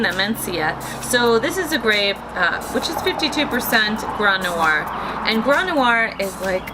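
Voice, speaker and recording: A woman's voice: medium pitch, low-quality recording. A woman hosting a weekly wine tasting, describing wines in a chatty, bubbly way with lots of "like" fillers, with music under her voice. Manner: chatty, bubbly, heavy use of "like". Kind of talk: casual wine tasting presentation. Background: background music.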